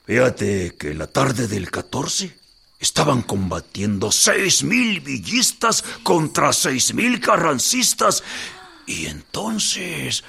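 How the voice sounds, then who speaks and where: A gravelly, creaky old Mexican veteran tells war memories in a radio play. He rambles slowly and folksily, his pitch rising and dipping with animation. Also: wildly swooping pitch, bright, forceful tone, heavily acted. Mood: reminiscing. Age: older. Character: very old Villista veteran, folksy great-great-grandfather reminiscing. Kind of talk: radio drama acting.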